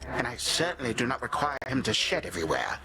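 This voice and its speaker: A man's voice: medium pitch, huge pitch swings, quick pace, noisy background. An actor playing an ancient titan tyrant in a video game, pompous and sardonic, speaking in formal archaic phrases with menacing grandfatherly condescension. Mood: haughty, sardonic. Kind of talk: theatrical video game villain acting. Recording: studio voice over game music.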